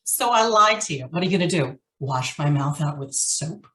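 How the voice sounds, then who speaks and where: A woman's voice: sits low, expressive, normal speed, bright, forceful tone, reverberant. An actress playing a hard-boiled, sarcastic female ex-convict in a noir radio drama, taunting and defiant.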